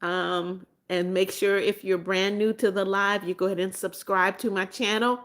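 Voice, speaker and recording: A woman's voice: mid-range voice, flat delivery, moderate pace, fairly bright tone. Chatty seventy-year-old woman live-streaming. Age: 70s. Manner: chatty, reads viewer comments aloud and answers them; calls herself Mommy Beth and repeats phrases. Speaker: livestream host. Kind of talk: casual live-stream chat.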